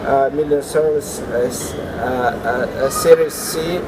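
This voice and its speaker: Slow, hesitant man. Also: medium pitch. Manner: halting, heavy hesitation fillers. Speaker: co-host. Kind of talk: casual chat reviewing startups. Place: indoor atrium of a large building. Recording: noisy ambience in a large space.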